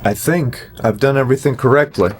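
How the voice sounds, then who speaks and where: A man's voice: mid-range voice, fairly quick, over music. A man narrating a casual home-recording vlog as host, in a wry, self-deprecating way.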